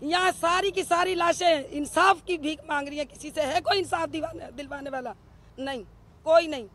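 A woman's voice: high-pitched, normal speed, a bit bright, slight background noise. A middle-aged woman, speaking outdoors into a bank of press microphones, accusing and demanding answers with rhetorical questions. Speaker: bereaved mother speaking to press. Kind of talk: emotional press statement. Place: outdoors in a crowded street. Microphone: cluster of press microphones.

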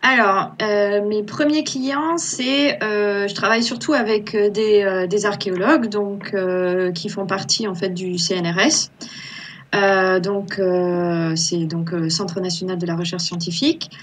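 A woman's voice: mid-range voice, fairly even intonation, measured pace, slight background noise. A woman in her thirties, an archaeological illustrator, talking casually on a video call from a small room at home, with many fillers and restarts. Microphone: laptop webcam on a video call.

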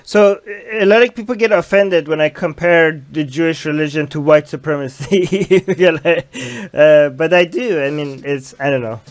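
A man's voice: a little high, moderate pace. Young man hosting a casual livestream. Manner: reads viewer comments aloud and argues points. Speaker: livestream host. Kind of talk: casual livestream chat reading comments. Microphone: headset.

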